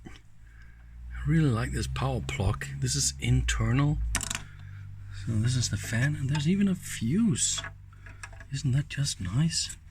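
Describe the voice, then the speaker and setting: Male teardown host, mid-low voice, leisurely pace, a little rough-edged, casual and enthusiastic running commentary. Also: expressive, slow pace, pauses often, background noise. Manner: informal, enthusiastic, exclaiming and thinking aloud. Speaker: host of electronics teardown videos.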